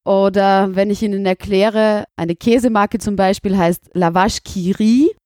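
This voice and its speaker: A woman's voice: mid-range voice, fairly even intonation, measured pace, a little mellow, no room sound, studio quality. Candid Austrian woman teacher. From Burgenland, Austria. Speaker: teacher, interviewee. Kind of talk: interview answers.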